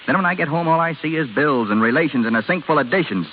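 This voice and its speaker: An actor playing a frustrated, self-pitying young working-class husband in an old radio drama, agitated and slangy. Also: male voice, a little high, animated, fast, natural voice. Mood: bitter, dejected. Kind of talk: 1930s-40s radio drama acting. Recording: tinny, hissy old radio recording.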